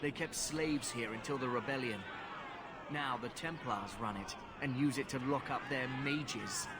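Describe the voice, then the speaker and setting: A man's voice: mid-range voice, measured pace, natural voice. Actor as a scornful guardsman amid heavy crowd noise. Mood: matter-of-fact. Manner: curt, dismissive, contemptuous. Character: gruff, scornful male city guardsman on gate duty. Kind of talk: fantasy video game acting. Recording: noisy outdoor crowd ambience.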